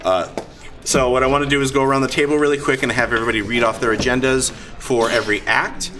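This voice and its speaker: A man hosting a live event as game master, talking off the cuff in a halting way and often repeating words. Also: medium pitch, steady tone. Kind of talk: unscripted talk to a live audience. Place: busy gaming convention. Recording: live convention hall, heavy crowd noise.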